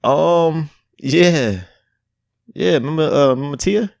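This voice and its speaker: A man's voice: on the high side, slow, vocal fry, a little mellow, no echo, studio quality. A young man co-hosting a podcast in a casual, laid-back, joking chat. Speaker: podcast co-host.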